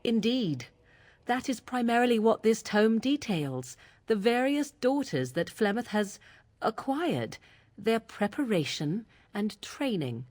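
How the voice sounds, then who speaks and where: An actress playing a proud, troubled young witch in a fantasy game, with formal, archaic, deliberate phrasing. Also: fairly high pitch, sing-song, a little mellow, dry recording, exaggerated voice. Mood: grim, resigned.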